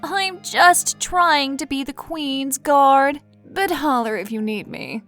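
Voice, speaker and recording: A woman's voice: sits high, takes it slowly, fairly bright tone, faint music behind. A woman acting a casual, protective royal guard in a fan dub. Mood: breezy, protective.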